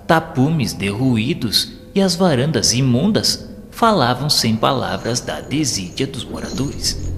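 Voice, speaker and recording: A Brazilian man playing a solemn spirit narrator who recounts his journey with compassion in measured, literary audio-drama narration. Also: lowish voice. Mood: grave, descriptive. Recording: long hall reverb, music underneath. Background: clear music.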